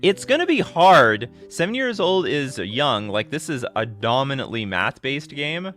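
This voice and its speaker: A man in his thirties hosting a casual livestream, answering viewer questions in a rambling, excitable way, often restarting his sentences. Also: medium pitch, unhurried, soft background music. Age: 30s. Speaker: livestream host.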